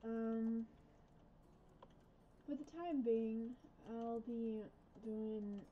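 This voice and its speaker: A woman from the USA chatting casually while playing a game, rambling and correcting herself, with soft r sounds left over from a past speech difficulty. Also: on the high side, lo-fi sound, a little hiss or hum. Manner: rambling, self-correcting, hesitant. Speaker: gaming vlogger. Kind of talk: casual gameplay chat.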